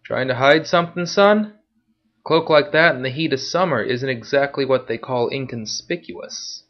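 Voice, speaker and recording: A man voicing a gruff, taunting male city guard who talks loud and swaggering. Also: mid-range voice, normal speed, pitched above the performer's own voice, natural voice. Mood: suspicious. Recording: dull lo-fi recording.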